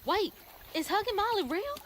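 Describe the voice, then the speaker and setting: A woman's voice: very high-pitched, very animated, moderate pace, noisy background. An actress playing an earnest, determined young woman in a video game. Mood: worried, questioning. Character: earnest, determined young Southern woman searching for her mother. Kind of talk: video game cutscene dialogue.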